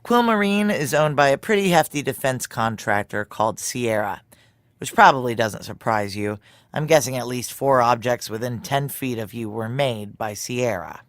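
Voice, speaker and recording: A man's voice: medium pitch, expressive, moderate pace, a bit bright, no echo. A man playing a wry, world-weary narrator in a noir audio drama, confiding in a dry, sardonic manner.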